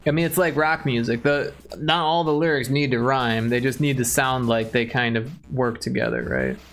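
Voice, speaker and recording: A man's voice: medium pitch, animated, normal speed, a bit bright, soft background music. A man from Chicago with a Chicago accent, hosting a livestream and chatting casually with viewers in a joking way. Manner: joking, chuckling, chatty with chat. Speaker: livestream host.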